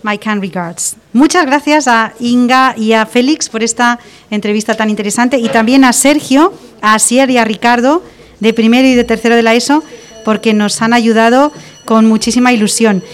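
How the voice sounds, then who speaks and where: A woman's voice: fairly high pitch, fairly quick. A woman with a Spanish accent hosting a school radio interview, asking questions in a friendly, encouraging way. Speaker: radio show host.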